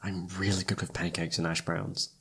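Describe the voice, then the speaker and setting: A man playing an affectionate, sleepy best friend turned lover in an intimate ASMR roleplay, speaking softly and tenderly. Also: sits low, little pitch movement, normal speed, gravelly, slightly rough, exaggerated voice.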